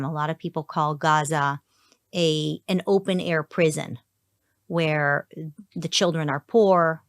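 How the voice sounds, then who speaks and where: A woman interviewer asking measured, pointed questions in a sit-down interview. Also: low voice, a bit bright, dry recording, clean studio sound.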